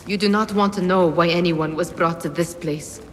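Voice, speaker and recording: A woman's voice: lowish voice, normal speed, a little hiss or hum, close to an everyday voice. An actress playing an insistent, cryptic young female companion in a dramatic video game cutscene, with an intense, emphatic delivery. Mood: quiet, cryptic.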